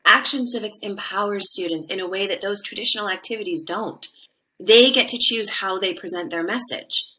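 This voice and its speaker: A woman's voice: medium pitch, normal speed, bright, forceful tone, slight background noise, close to an everyday voice, low-quality recording. An actress playing an earnest, conflicted woman school administrator in a podcast drama. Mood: earnest, concerned.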